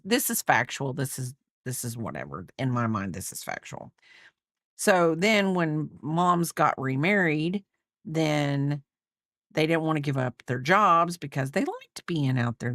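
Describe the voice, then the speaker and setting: Brief: woman in her fifties, rambling livestream host, lively pitch, dry close sound. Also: moderate pace, no echo. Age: 50s. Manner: rambling, chatty, reads out viewer comments. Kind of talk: casual livestream chat.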